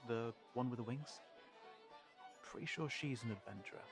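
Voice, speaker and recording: A man's voice: medium pitch, vocal fry, natural voice. Hesitant young adventurer-seeker, acted by a man, music behind. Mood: awkward, polite. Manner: hesitant, apologetic, halting. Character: Caden, polite awkward young human man seeking an adventurer. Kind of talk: audio roleplay drama acting.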